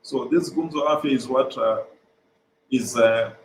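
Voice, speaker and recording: A young Kenyan man whose first language is Swahili, earnestly explaining his organization's programs over a video call, with frequent "uh" pauses. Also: mid-range voice, reverberant, slight background noise, poor audio. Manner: earnest, many "uh" pauses, repeats phrases. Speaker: guest, mental health organization co-founder.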